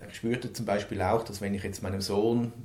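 A man's voice: on the low side, fairly even intonation, brisk, mellow, echoey room. A man, an interview guest speaking earnestly about his life, stuttering and repeating words. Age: 50s. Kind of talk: personal interview.

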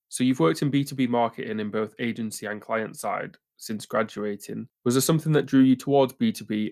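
A man hosting a marketing podcast, reading a polished scripted welcome and then asking his guest questions in an even tone. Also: medium pitch, gravelly. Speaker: podcast host.

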